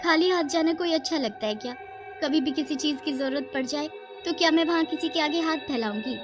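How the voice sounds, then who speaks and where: A woman's voice: high-pitched, fairly quick, pitched above the performer's own voice, close to an everyday voice. A woman voicing a worried, complaining young wife in a cartoon story, fretful and nagging over music. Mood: upset.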